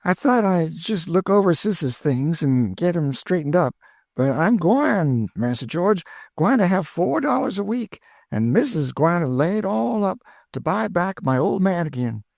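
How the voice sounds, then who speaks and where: A man's voice: high-pitched, very animated, a little slow, gravelly, darkish tone, no echo, clean studio sound, pitched above the performer's own voice, exaggerated voice. A man voicing an eager, chatty older woman cook with an in a storybook reading. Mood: bustling. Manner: exclaiming, folksy, eager.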